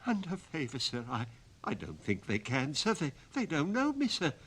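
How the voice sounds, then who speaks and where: A man's voice: a little high, wildly swooping pitch, moderate pace, a bit bright, a little hiss or hum, pitched above the performer's own voice. A man voicing a terrified old gravedigger who stammers timidly in a storybook reading. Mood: frightened.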